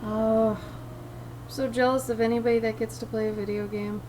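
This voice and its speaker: Young female streamer, raspy, fairly high voice, laid-back chat, audible background noise. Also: normal speed, distant mic, poor audio. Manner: chill, relaxed, sniffing often. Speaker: livestreamer. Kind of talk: casual chat with stream viewers while drawing.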